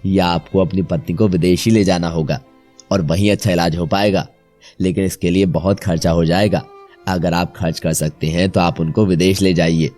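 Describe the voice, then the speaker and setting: A man voicing a grave, measured doctor, serious and matter-of-fact, in a dramatised story. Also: sits low, fairly even intonation, a little mellow, faint music behind, close to an everyday voice. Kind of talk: dramatised Hindi moral story acting.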